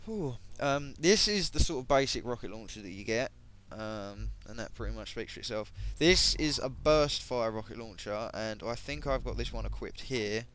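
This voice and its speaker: A man giving casual, hesitant commentary on game equipment, his voice a bit croaky, with many ums and self-corrections. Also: mid-range voice, animated, normal speed, hardly any pauses, a bit bright. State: voice going croaky. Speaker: gaming video creator.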